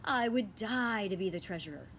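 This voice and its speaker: A woman's voice: mid-range voice, animated, moderate pace, fairly bright tone, slight background noise. A woman reading aloud the part of an eager, scatterbrained mother in a children's story, bubbly and emphatic. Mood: insistent, excited.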